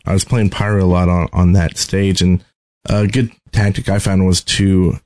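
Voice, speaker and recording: A man's voice: low-pitched, level tone, moderate pace, darkish tone. A man co-hosting a podcast, chatting casually about video game tactics. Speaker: podcast co-host.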